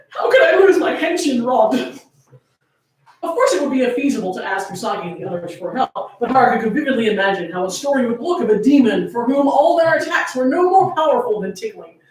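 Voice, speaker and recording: Animated woman reading aloud in an echoey room. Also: fairly high pitch, fairly quick. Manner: amused, often breaking into laughter while reading. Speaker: reader at a fan fiction reading. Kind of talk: dramatic comedic story reading. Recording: echoey room, distant microphone.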